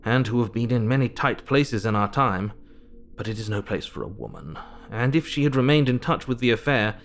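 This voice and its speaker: A man's voice: fairly low pitch, slight background noise, natural voice. An actor playing an earnest, businesslike Victorian asylum doctor, narrating his diary in an audio drama.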